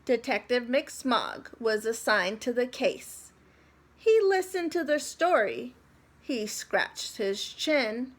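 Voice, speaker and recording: A woman with a high voice narrates a picture book for children. Her delivery is very slow and deliberate, setting phrases apart expressively. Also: big pitch swings. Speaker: storybook reader for children. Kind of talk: expressive scripted story narration.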